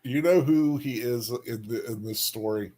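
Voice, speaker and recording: A man's voice: medium pitch, moderate pace, mellow. A man chatting casually as a co-host, with a joking way of talking. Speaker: podcast co-host. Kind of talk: casual chat.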